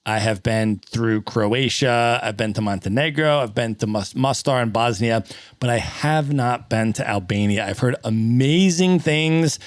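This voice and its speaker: A man's voice: medium pitch, a little slow, dry recording. Enthusiastic male podcast host, dry sound. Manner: enthusiastic, repeats "that's amazing". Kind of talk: interview podcast.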